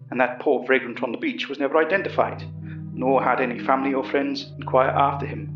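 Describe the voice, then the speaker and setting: A man's voice: mid-range voice, natural voice. A man playing an elderly English professor who earnestly recounts a horror story, in a roomy, slightly dull recording. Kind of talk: first-person horror audio drama narration.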